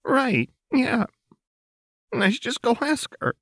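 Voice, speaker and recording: A man voicing a tearful, childlike young woman, a vulnerable daughter who speaks in a halting, pleading, emotional way in an audiobook. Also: very high voice, sing-song, a bit bright, no room sound, studio quality, pitched above the performer's own voice, exaggerated voice. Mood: resolved.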